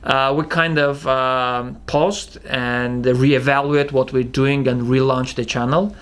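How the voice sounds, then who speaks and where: A young man with a thick Russian accent, casually answering viewer questions as a channel co-host, halting with many fillers and restarts. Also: mid-range voice, fairly even intonation, a bit bright, smooth. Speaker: channel co-founder hosting Q&A. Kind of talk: casual Q&A chat.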